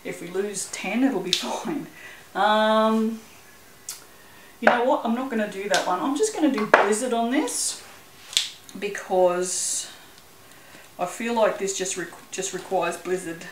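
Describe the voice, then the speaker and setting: Aussie woman rambling slow while she works, laughs a lot, echoey room w faint hiss. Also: mid-range voice. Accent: Australian. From Australia. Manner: chatty, rambling, laughs often, swears casually. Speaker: soap-making channel host. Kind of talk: casual chat while working.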